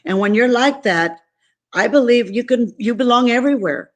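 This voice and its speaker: A woman's voice: fairly high pitch, animated, normal speed. A woman from San Antonio, Texas, whose first language was Spanish, speaking as an interview guest in an emphatic, earnest, repetitive way. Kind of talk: motivational interview talk.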